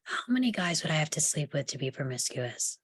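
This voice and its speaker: A woman's voice: low-pitched, sing-song, fairly quick, husky, full character voice. A woman playing a defiant, sardonic young psychiatric patient in a screenplay table read, with a guarded, dry, challenging delivery. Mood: provocative, guarded.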